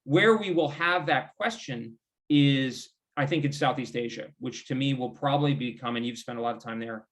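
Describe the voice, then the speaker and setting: An American man speaks as a foreign policy expert being interviewed. His delivery is discursive and halting, as he qualifies and rewords his points in informal discussion. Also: medium pitch, a bit bright. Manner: discursive, halting, qualifies and rephrases himself often.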